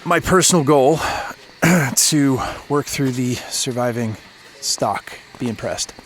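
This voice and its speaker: A man's voice: mid-range voice, takes it slowly, a little hiss or hum, close to an everyday voice. A man playing a laid-back, sarcastic, worn-out radio DJ who teases his way through an audio drama scene. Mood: wry, relaxed. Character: scruffy, sardonic broken-down male radio DJ. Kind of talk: naturalistic audio drama dialogue.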